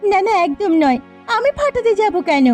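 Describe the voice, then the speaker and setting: A young cartoon woman voiced by an actress, very high and mellow in tone. She is earnest and pleading, a blamed sister-in-law. Faint music sits behind her. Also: big pitch swings, moderate pace. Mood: pleading, defensive. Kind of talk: cartoon voice acting.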